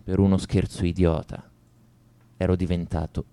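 Un attore che interpreta un liceale tormentato e amareggiato, in un monologo confessionale di radiodramma, con enfasi riflessiva. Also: male voice, low voice, little pitch movement, moderate pace, mellow. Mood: bitter remorse. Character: brooding male high school student confessing to violence. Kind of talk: radio drama monologue, first-person narration.

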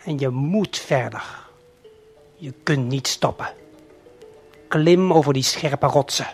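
A man narrating an audio play in the second person, deliberate and suspenseful, speaking straight to the listener. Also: medium pitch, gravelly, husky. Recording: late 1970s vinyl LP production. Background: prominent music.

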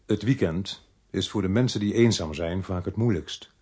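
An actor playing a brisk, world-weary police inspector who narrates his case in a radio crime drama. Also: sits low, dark tone, husky, dry recording. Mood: sober narrating.

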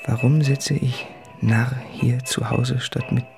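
Ein Schauspieler spricht in einem literarischen Hörspiel einen rastlosen, von Zweifeln gequälten, verliebten Schriftsteller, innig und nach innen gekehrt. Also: male voice, mid-range voice, flat delivery, takes it slowly, vocal fry, over music. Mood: anguished longing. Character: restless, anguished, self-doubting male writer in love. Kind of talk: literary radio play monologue.

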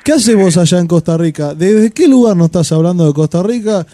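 A man's voice: sits high, brisk, dark tone, slight background noise. A man with an Argentine accent hosting a radio show, joking and teasing with crude banter. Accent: Argentine Spanish. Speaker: radio host. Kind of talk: casual radio chat.

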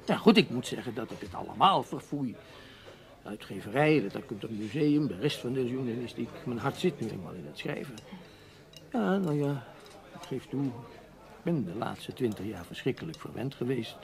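An actor playing a proud, resigned middle-aged newspaper writer in a Dutch radio play, wordy and protesting in a Netherlands accent. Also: male voice, mid-range voice, big pitch swings, normal speed, vocal fry. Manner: wordy, hesitant, protesting with repeated little words.

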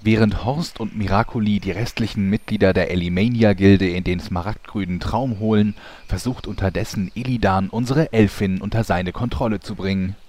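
A man's voice: on the low side, moderate pace, hardly any pauses, darkish tone. A man narrating a radio play in an announcer-like, mock-dramatic way.

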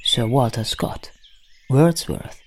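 A woman's voice: very deep voice, takes it slowly. A woman narrating a scripted biography as part of a radio play. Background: music.

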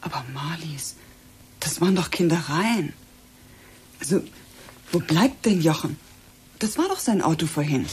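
A woman's voice: low-pitched, expressive, unhurried, long pauses, a little mellow, a little hiss or hum. A woman playing an anxious, considerate hostess with a conciliatory manner in a radio drama.

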